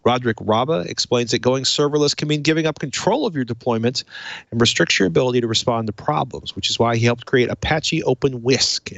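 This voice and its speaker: Creaky-voiced man hosting a tech podcast. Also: medium pitch, fairly bright tone, no echo, low-quality recording. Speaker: podcast host. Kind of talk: casual tech talk show with a read sponsor message.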